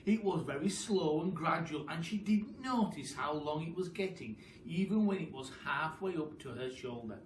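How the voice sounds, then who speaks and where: A man telling a children's story expressively, like a teacher, with dramatic, deliberate pauses and emphasis. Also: fairly high pitch, expressive. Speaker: teacher reading a story to pupils. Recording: roomy, distant, with noise.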